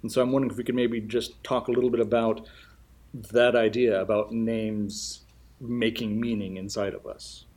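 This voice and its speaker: A man's voice: medium pitch, flat delivery, darkish tone. A man interviewing in a thoughtful, tentative way, working gradually toward his question. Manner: measured, tentative, builds slowly toward a question. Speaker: podcast interviewer.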